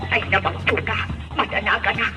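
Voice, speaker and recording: A woman's voice: mid-range voice, fairly even intonation, brisk, distant mic, music in the background, lightly acted. A woman playing a worried villager in a radio drama, with an Ilocano accent. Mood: alarmed.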